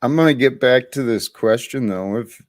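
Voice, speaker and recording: A man hosting a livestream, talking slowly and thoughtfully about his life with many pauses. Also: medium pitch. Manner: slow, thoughtful, pausing. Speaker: livestream host. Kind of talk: reflective livestream talk.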